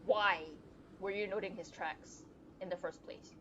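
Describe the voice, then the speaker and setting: A woman's voice: mid-range voice, fairly even intonation, a little slow, a bit bright, muffled recording, slight background noise. A woman giving casual live game commentary as a streamer, chattily reacting aloud to the game and her chat. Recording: game music behind the voice. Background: game music.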